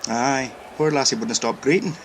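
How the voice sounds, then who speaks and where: A man playing a restless, plain-spoken young Highlander with a Scottish accent in an audio drama. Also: normal speed, natural voice. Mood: grumbling. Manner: colloquial, grumbling. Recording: audible background noise.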